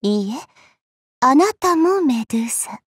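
A woman voicing a petite, haughty, teasing goddess for a game, with elegant, coy, ladylike speech and a soft, breathy tone. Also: high-pitched, very animated, measured pace, no room sound, clean studio sound, exaggerated voice. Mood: gently commanding. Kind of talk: Japanese mobile game character voice lines.

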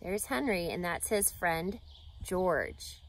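A woman reads a children's story aloud, expressive and emphatic, addressing young listeners. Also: fairly low pitch, takes it slowly, slight background noise. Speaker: reading teacher, storyteller. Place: outdoors in a grassy lakeside yard.

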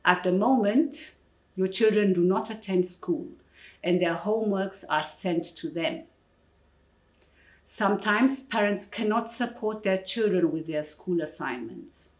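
A middle-aged woman giving a scripted public information address in a measured, formal, deliberate way. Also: mid-range voice. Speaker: presenter of advice for parents. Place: indoor office room. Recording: echoey room.

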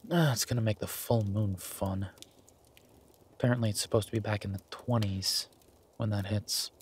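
A man playing an affectionate, easygoing werewolf boyfriend in an intimate roleplay audio, with a husky, breathy voice and a soft, teasing manner. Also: on the low side, steady tone, moderate pace, a little hiss or hum, natural voice. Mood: relaxed, wry. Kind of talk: intimate boyfriend roleplay audio, casual one-sided chat.

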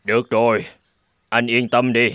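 A man playing a big, crafty fur trader in an animated folk tale, with a measured, drawling, persuasive delivery. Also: medium pitch, no room sound, natural voice. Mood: sly, flattering. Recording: music behind the voice.

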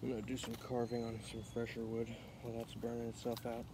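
A man's voice: mid-range voice, completely flat delivery, fast talker. A man casually talking to himself while he carves wood in a backyard, picked up by a camera mic on the ground. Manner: offhand, muttering to himself while working. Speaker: outdoor knife vlogger. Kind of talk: casual demonstration talk. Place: backyard outdoors by a chain-link fence. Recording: outdoor ambience noise, passing cars. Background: outdoor ambience with passing cars and insects.

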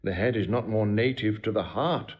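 An actor playing a regal, smooth-talking king in classical drama, with stately, measured oratory. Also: lowish voice, a little mellow, lightly acted. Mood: gracious. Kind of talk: classical stage drama acting.

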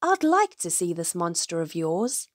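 A woman voicing an unruffled, curious, practical badger in a storybook, matter-of-fact and unhurried. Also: fairly low pitch, big pitch swings, dry recording, studio quality, voice pushed down.

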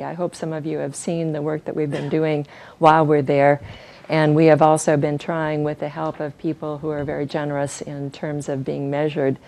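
An older woman presenting research to an audience in a conference room, soft-spoken and using fillers. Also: deep voice. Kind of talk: scientific talk at a reception.

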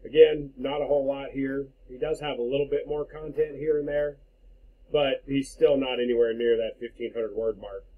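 A man with a somewhat husky, mid-pitched voice talks a client through an online marketing review. He is conversational and plain-spoken, explaining each point informally as a consultant would. The recording sounds a little dull and lo-fi. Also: fairly even intonation, normal speed. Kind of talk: informal screen-share walkthrough for a client.

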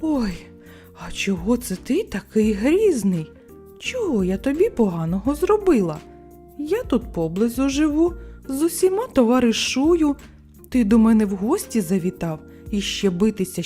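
A little grey girl mouse from a storybook, played by a woman, sociable but quick to take offence. She chatters in a high, very animated voice with music behind. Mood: surprised, indignant. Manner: indignant, chatty, expressive. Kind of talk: storybook character voice.

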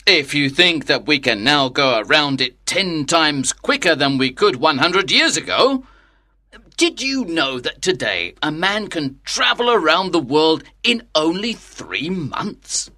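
An actor playing a sceptical, smugly amused English club gentleman who goads theatrically in a dramatized audiobook. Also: a little high, sing-song, exaggerated voice. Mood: scoffing, boastful. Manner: mocking, drawn-out, theatrical.

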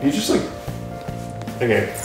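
A man's voice: medium pitch, very animated, unhurried. A young man co-hosting a let's play, joking casually over the game. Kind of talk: joking gameplay chat, reading dialogue aloud. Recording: very echoey, distant mic, loud game music.

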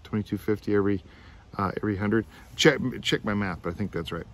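A man's voice: lowish voice, steady tone, fast, a bit bright. A middle-aged man, filmed outdoors on a phone, explaining a class assignment in a rambling way, restarting his sentences and thinking aloud. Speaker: teacher. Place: outdoors among trees. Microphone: phone camera at arm's length.

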